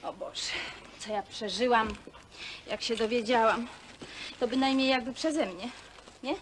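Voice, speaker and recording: A superstitious, naive young sweetheart played by an actress from an old film drama. She gushes and rambles in a highish voice, folksy and fretful, over faint soundtrack noise. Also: a little slow, close to an everyday voice. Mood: fussing, anxious. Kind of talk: naturalistic film acting.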